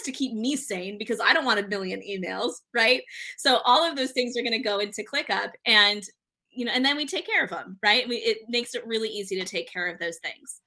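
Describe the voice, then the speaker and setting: A woman's voice: on the high side, sharp tone. A woman speaking as a guest on a business podcast, talking casually in an upbeat way and repeating words as she explains. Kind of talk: casual interview chat.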